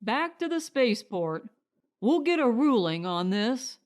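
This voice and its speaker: A woman's voice: a little high, sing-song, a little slow. A woman voicing a stubborn settler, a family man, in a firm, terse manner for an audiobook story. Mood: grim, firm.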